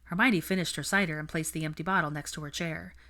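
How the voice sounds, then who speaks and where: A woman narrates fiction as an audiobook reader. Her voice sits on the low side, and the delivery is scripted storytelling. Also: fairly even intonation, fast talker, bright, forceful tone.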